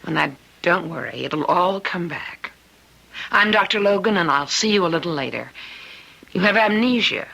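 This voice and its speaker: A woman's voice: lowish voice, animated, normal speed, creaky, pushed and bright, heavily acted, rough recording. An actress playing a composed woman hospital doctor in a radio drama, with a dry, assured manner. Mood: brisk, reassuring. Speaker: actor.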